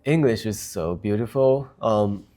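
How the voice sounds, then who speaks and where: A man's voice: fairly low pitch, unhurried, warm tone. A man from Japan, a native Japanese speaker with a Japanese accent, chatting casually and enthusiastically as a guest. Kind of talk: casual chat.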